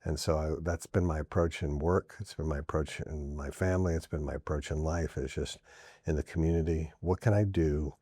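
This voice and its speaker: Very deep, husky middle-aged man. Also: fairly even intonation, brisk, mellow, dry recording, clean studio sound. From New Orleans. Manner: earnest, reflective, with frequent restarts. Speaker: podcast guest. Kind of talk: casual interview talk.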